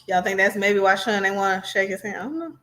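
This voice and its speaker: Woman doing reaction commentary, chatty n sardonic, talks right at the viewers, normal mid pitch. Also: fast, fairly bright tone, slight background noise. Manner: conversational, sardonic, addresses viewers directly. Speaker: commentary host.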